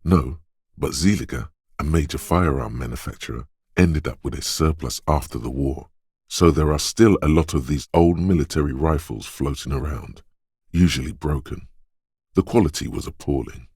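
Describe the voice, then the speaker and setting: A man voicing a detective's gun-obsessed inner voice in a video game, speaking in a measured, deliberate, weighty way. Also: darkish tone, no echo, clean studio sound, full character voice. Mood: measured, knowing. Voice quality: very deep, husky.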